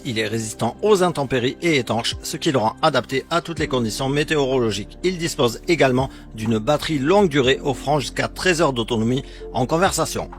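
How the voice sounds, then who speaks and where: A man with a mid-range voice presents a scripted product comparison as a voice-over. He reads in a measured, explanatory way with a sales-pitch style. Faint music plays behind him. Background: background music.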